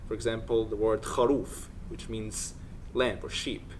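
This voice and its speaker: A student from Egypt answering interview questions, explaining at length with many pauses and um fillers. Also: male voice, lowish voice, level tone, slight background noise.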